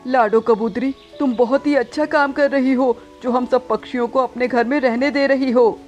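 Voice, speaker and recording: Father bird, voiced by a woman: earnest, reassuring cartoon husband, higher-pitched, music behind. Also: fairly even intonation, moderate pace, a little mellow, dull audio, lightly acted.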